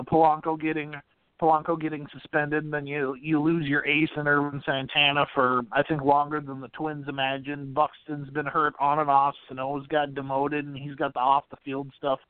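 Male sports podcast host, slightly high voice, phone-like narrowband sound, very dry. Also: no echo. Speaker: podcast host interviewing a guest. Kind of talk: casual sports interview.

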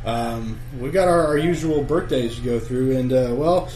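Jokey, halting man in an echoey room. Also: mid-range voice, darkish tone. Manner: jokey, halting, many fillers and laughs. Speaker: podcast co-host. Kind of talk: casual chat among fans.

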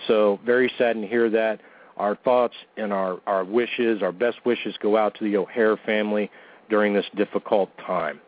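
A man's voice: on the low side, fairly even intonation, normal speed. A man hosting a wrestling talk show, joking around and reading plugs in a goofy, self-deprecating way. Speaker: podcast host. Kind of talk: casual radio-show banter and announcements. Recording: tinny phone-like line.

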